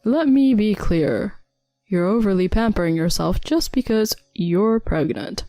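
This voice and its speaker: A woman's voice: mid-range voice, animated, measured pace, mellow, no echo, soft background music. A woman voicing a dismissive young husband who talks down to his pregnant wife. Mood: scornful. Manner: curt, condescending.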